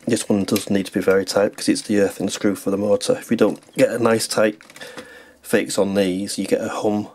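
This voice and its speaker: A man's voice: on the low side, flat delivery, brisk, darkish tone, a little hiss or hum. A man narrating a hands-on how-to as a tutorial host, explaining plainly step by step.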